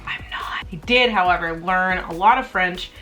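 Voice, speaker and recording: Bubbly, bright-voiced woman vlogger. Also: lowish voice, moderate pace, soft background music. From Canada. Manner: bubbly, jokey, self-mocking, laughs often. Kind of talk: casual snack review vlog. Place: her home.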